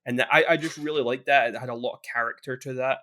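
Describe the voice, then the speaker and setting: A man chatting casually on a podcast at a middle pitch. He rambles along with frequent restarts and trailing thoughts. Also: steady tone, quick pace, sharp tone, slight background noise. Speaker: podcast co-host.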